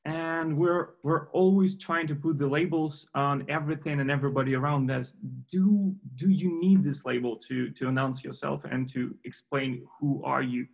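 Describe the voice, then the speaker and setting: A man interviewing a novelist, his speech halting as he restarts words and searches for phrasing. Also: fairly high pitch, steady tone, creaky. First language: Ukrainian. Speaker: interviewer at a book forum. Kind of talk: interview questions.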